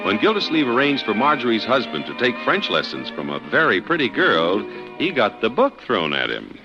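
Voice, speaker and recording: A man's voice: medium pitch, wildly swooping pitch, normal speed, music in the background. A man announcing on 1950s radio with a polished, emphatic sales delivery. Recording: dull vintage 1950s radio recording.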